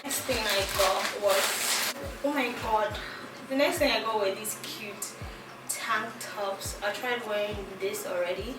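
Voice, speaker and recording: A woman's voice: medium pitch, takes it slowly. A young Nigerian woman with a Nigerian accent, chatting giggly and casually through a clothing try-on haul, thinking aloud with restarts. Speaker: fashion vlogger. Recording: echoey room, mic at a distance, music behind.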